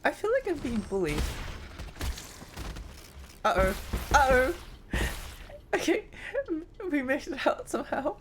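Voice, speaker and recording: A woman's voice: high-pitched, big pitch swings, slow, pauses often, slight background noise. Giggly woman streamer with a strained voice. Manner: chatty, giggly, rambling. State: strained, tiring voice. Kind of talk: live game stream chat.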